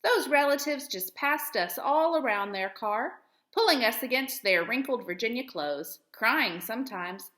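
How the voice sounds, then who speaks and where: Female schoolteacher for children's story reading: highish voice, expressive phrasing, roomy echoing sound. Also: a little slow. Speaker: teacher reading aloud to pupils. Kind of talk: storybook read-aloud for children.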